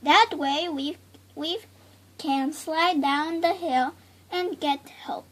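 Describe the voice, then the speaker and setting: Casting: woman playing young girl princess for storybook, high shifted voice, earnest, pleading, eager. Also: takes it slowly, fairly bright tone. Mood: earnest, determined. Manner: pleading, exclamatory.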